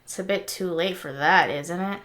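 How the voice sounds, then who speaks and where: A woman playing a sarcastic, excitable young tinkerer in an audio drama, snappy and skeptical. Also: on the low side, moderate pace, lightly acted. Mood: blunt, scornful.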